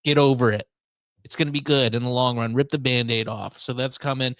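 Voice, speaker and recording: An American man with a medium-pitched voice hosts casual talk radio. He is easygoing and informal, talking as if to regular listeners. The recording is very dry. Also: fairly even intonation, a little fast, a bit bright, no room sound. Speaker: radio show host.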